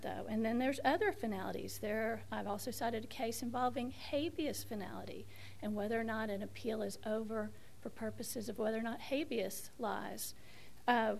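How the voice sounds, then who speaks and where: A woman attorney making an appellate oral argument while she is losing her voice, speaking in a measured, hesitant way with frequent restarts. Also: fairly high pitch, gravelly, slight background noise. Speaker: attorney arguing an appeal. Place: courtroom.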